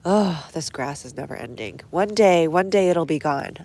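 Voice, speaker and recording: A low-voiced woman with a stuffy nose, chatting casually to viewers while she works in her outdoor garden. She reads comments aloud and talks through each step as she goes. Faint outdoor ambience sits under her phone-camera audio. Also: expressive, moderate pace, dark tone, slight background noise. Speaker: gardening livestream host.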